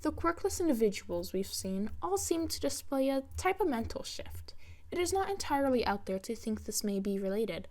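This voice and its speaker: Brisk, condescending woman doctor, voiced by a reader. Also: on the high side, very animated, darkish tone. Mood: clinical, dismissive. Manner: professional, polite but condescending.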